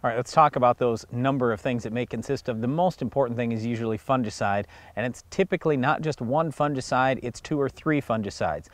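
A man's voice: medium pitch, fairly quick, few pauses. A middle-aged man from the United States hosting a farm show, explaining steadily and plainly, outdoors in a field on a lapel mic. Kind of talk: scripted educational farm talk.

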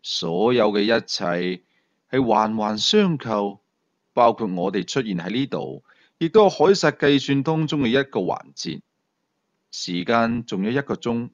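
A man voicing a cool, confident male sleuth in an audio thriller, low and hushed, with slow, deliberate delivery. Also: sing-song, creaky, no echo, muffled recording. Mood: grave, measured.

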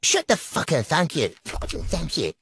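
A man's voice: wildly swooping pitch, moderate pace, gravelly, fairly bright tone, husky, dry recording. A British man with a foul-mouthed, exasperated manner commentates on his own football gaming through a trickster voice filter. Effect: pitch-altering trickster voice filter. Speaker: gamer commentating on own football game play.

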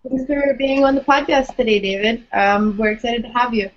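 A young woman co-hosting a podcast interview on a video call, asking questions in a relaxed way. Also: a little high, normal speed. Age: young adult. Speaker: podcast co-host. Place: small room indoors. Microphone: webcam on video call. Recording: dull lo-fi webcam audio.

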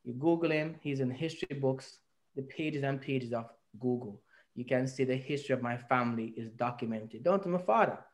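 A man with a Jamaican accent telling his story at length as an interview guest, earnest and reflective, on a video call from a room at home. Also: medium pitch. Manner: earnest, reflective, speaks at length with rising emphasis. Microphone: video call webcam.

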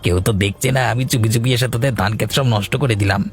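A man's voice: mid-range voice, steady tone, fast talker, close to an everyday voice. A man playing a spiteful, taunting village bully in a fairy-tale cartoon, menacing and gloating.

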